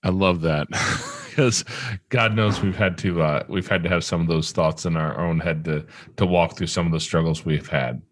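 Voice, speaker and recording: Deep-voiced Midwestern podcast host. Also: male voice, steady tone, moderate pace, no room sound. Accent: Midwestern American. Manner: rambling, self-correcting, laughs easily. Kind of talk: casual interview chat.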